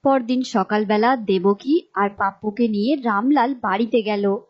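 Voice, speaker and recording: A woman's voice: medium pitch, unhurried. A woman reading a scripted moral folk tale as storyteller. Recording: dull lo-fi sound with music behind. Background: clear background music.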